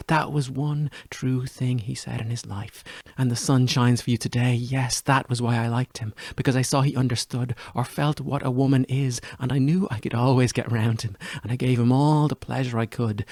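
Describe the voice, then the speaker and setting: Man voicing an earthy woman, low and gravelly. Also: a little mellow, no echo. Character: earthy, sensual Irish woman musing on past love. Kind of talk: dramatic literary monologue reading.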